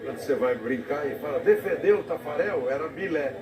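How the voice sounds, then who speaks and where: A man's voice: a little high, animated, crowd noise. Man commenting, echoey and far off. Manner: reflective, halting, with many restarts. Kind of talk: live broadcast commentary. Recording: TV audio recorded off the air from a distance in an echoey room, noisy.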